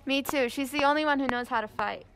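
Commanding female teacher in a zombie drama played by a teen girl, very high-pitched, very noisy recording. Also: quick pace. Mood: matter-of-fact. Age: young teen. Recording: very noisy amateur recording with clicks.

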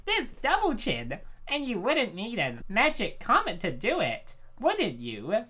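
A woman's voice: on the high side, wildly swooping pitch, unhurried, a bit bright, slightly rough, exaggerated voice. A woman voicing a brash young princess in a comic dub, with a teasing, knowing, goading manner.

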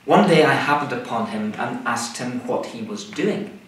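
Male minister, middle-aged, deliberate sermon delivery, recorded far from the mic in a very echoey room. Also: medium pitch, expressive, fairly bright tone. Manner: measured, deliberate delivery in short phrases. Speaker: minister preaching. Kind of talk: scripted sermon. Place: indoors, small room, seated at a table. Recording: echoey room, far microphone, faint noise.

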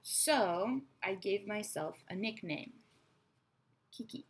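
A woman in her thirties, raised between Israel and America, giving a chatty, frank English lesson to her webcam in a small bright room. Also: mid-range voice, expressive, slow pace, breathy edge. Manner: chatty, frank, teacherly asides. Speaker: English teacher. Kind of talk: online English lesson to camera. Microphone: webcam at desk.

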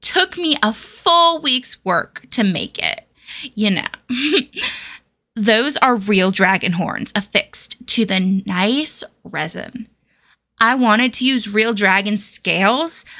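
A woman's voice: a little high, sharp tone, exaggerated voice. A woman voicing a friendly, sly festival merchant with coaxing sales patter in a fantasy game demo.

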